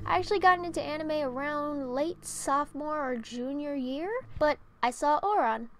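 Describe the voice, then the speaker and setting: A young woman with a high voice narrates a storytime video. Her delivery is expressive and theatrical, like a vlogger telling personal stories to viewers. Music plays behind her. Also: normal speed. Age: young adult. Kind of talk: animated storytime vlog narration.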